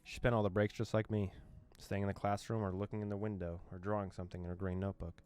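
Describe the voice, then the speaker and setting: Deep-voiced young man reading a game, joking. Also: level tone, fast, a little mellow, clean tone, faint music behind. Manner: playful, joking, laughs often. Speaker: gaming YouTuber. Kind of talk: reading a visual novel aloud with commentary.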